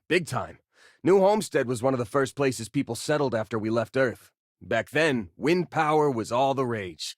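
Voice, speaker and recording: A friendly young spacefaring miner for a video game. He is easygoing and earnest, with a casual, folksy way of talking. His medium-pitched voice moves up and down in a lively way, recorded dry. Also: no echo. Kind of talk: video game character dialogue and combat barks.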